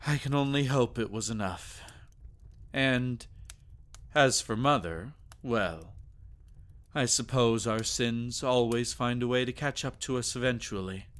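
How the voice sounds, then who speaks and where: A man playing a devoted, protective vampire nobleman in a scripted audio drama, speaking formally and gravely. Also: medium pitch, a bit bright, lightly acted. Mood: grave, worried. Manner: solemn, formal, deliberate.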